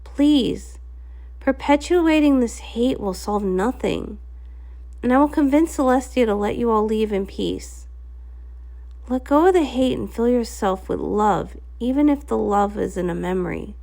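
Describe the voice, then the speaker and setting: A woman's voice: a little high, animated, a little slow, creaky, dark tone. A woman voicing a young, compassionate pony princess, earnest and pleading, in a comic read-along.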